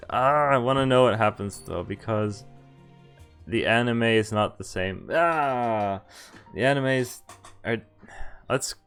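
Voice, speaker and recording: A man in the middle of his pitch range, streaming a visual novel and reading its lines aloud between excited reactions. He thinks through each choice out loud. Soft game music plays faintly behind him. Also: slow pace, long pauses. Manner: excitable, thinks aloud, reads story lines in first person. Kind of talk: live game commentary and reading text aloud.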